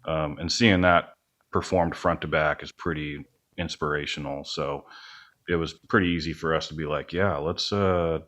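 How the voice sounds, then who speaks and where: Low-voiced man chatting casually. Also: steady tone, moderate pace, fairly bright tone. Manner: rambling, hedging, self-effacing. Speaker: podcast guest, band musician and studio owner. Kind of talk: casual interview chat.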